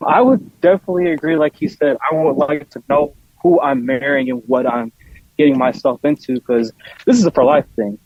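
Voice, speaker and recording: A man's voice: on the high side, fairly quick, no echo. A young man chatting casually as a guest on a roundtable discussion. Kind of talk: casual chat. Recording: slightly dull, lo-fi, dry sound.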